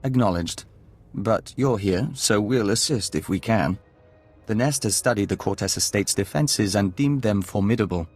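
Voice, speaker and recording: An actor playing a gentle, formal envoy of an insect hive in a video game, measured and precise. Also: male voice, lowish voice, lightly acted. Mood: earnest, formal.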